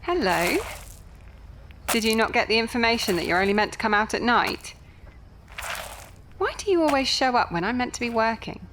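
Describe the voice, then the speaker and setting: Actress playing a high-voiced, sardonic young nurse. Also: female voice, animated, noisy background, natural voice. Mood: curious, gentle. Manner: wry, conversational, quick to grumble. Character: young Victorian nurse, sardonic, chatty, secretly soft-hearted. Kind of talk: audio drama acting.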